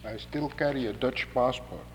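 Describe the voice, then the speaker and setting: A man from Indonesia with an Indonesian accent, his first language, preaching a sermon in measured, deliberate phrases with dry humor. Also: mid-range voice. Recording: long hall reverb with faint hum.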